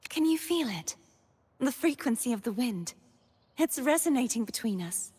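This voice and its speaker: A woman's voice: a little high, animated, moderate pace, a little mellow, husky, big-room sound. An actress playing a noble, solemn young woman knight in a video game, with formal, dramatic delivery. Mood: earnest, solemn. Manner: formal, dramatic, archaic phrasing. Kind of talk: scripted video game character voice lines.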